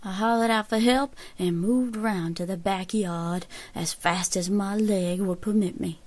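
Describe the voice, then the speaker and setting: A woman playing a grim elderly frontier woman with a Southern American accent, giving a deliberate, folksy first-person account in an audio drama. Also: mid-range voice, darkish tone, close to an everyday voice. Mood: grave, tense. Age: older.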